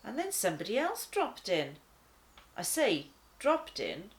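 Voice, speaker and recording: Woman playing an easygoing lion with unhurried, animated delivery. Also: mid-range voice. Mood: chatty. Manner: conversational, wry, dramatic pauses. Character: easygoing, laid-back talking lion, chatty and wry. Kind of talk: first-person children's storybook narration.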